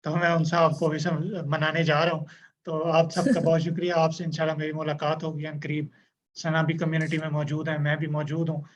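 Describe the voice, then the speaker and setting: A man's voice: fairly high pitch, little pitch movement, a little fast. A man hosting a casual online interview, chatty and agreeable, with joking asides. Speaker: host. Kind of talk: casual Urdu-English interview. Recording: dull lo-fi sound with faint noise.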